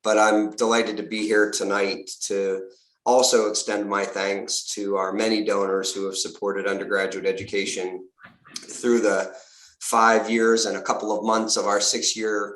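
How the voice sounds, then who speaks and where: A slightly hoarse man, a university fundraising executive, giving upbeat prepared remarks on a video call with frequent uh fillers. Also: lowish voice, flat delivery, moderate pace, fairly bright tone. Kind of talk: prepared remarks at a virtual donor event. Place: home or office on a video call. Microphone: video call mic. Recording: roomy video call audio.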